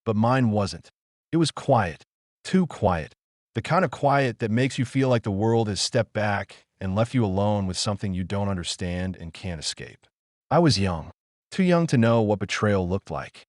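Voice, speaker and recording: A man playing a wounded, introspective man haunted by his past, delivering a solemn, deliberate dramatic monologue in measured phrases. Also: fairly low pitch, no room sound, studio quality. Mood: haunted, grave. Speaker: actor performing a one-man audio play.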